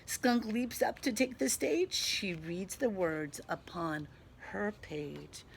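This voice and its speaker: A woman teacher reading a picture book aloud to young children, animated, pausing to explain things simply. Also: medium pitch, creaky, a little hiss or hum. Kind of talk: expressive picture-book read-aloud with asides.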